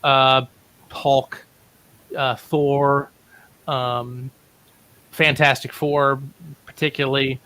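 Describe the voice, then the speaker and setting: A man's voice: medium pitch, level tone, very slow, pauses often, pushed and bright. A middle-aged man, a writer being interviewed, telling stories casually in an informed, discursive, self-correcting way. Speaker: writer and playwright guest.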